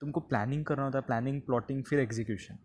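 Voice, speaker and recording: A man's voice: medium pitch, racing, a little mellow, slight background noise. A man hosting a podcast, telling a comedic personal story conversationally and slipping between languages. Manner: conversational, mixes Hindi with English phrases.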